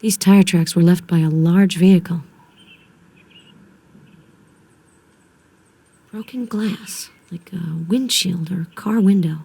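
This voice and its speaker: Game casting: composed female investigator, low-pitched mellow voice, measured and resolute. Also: creaky, breathy edge, no room sound. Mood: focused, observant. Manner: measured, matter-of-fact. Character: determined, composed female federal investigator in the field. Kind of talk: video game voice acting.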